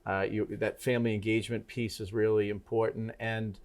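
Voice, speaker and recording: A middle-aged man hosting a TV talk interview, speaking in a halting way with many restarts and fillers. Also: fairly low pitch, level tone. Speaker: TV talk show host. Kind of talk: casual interview conversation.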